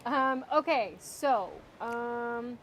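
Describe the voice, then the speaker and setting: A woman in her thirties asking interview questions in a friendly, chatty way, quick to agree. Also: on the high side, fairly bright tone, slight background noise. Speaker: interviewer and co-star. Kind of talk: casual interview chat.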